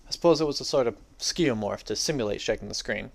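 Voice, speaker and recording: A man's voice: medium pitch, fast, gravelly. A young adult man giving a dramatic reading of his own first-person story.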